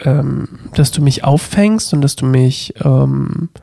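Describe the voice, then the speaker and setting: A man's voice: medium pitch, slow pace, vocal fry, dark tone. A young man with a stuffy cold, co-hosting a casual podcast from his living room, playful and rambling. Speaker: podcast co-host. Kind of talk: casual couple podcast chat.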